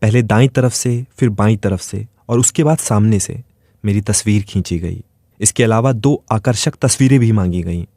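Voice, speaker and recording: A man's voice: lowish voice, normal speed, warm tone, no echo, clean studio sound. A man reading a scripted first-person dramatic story as its narrator.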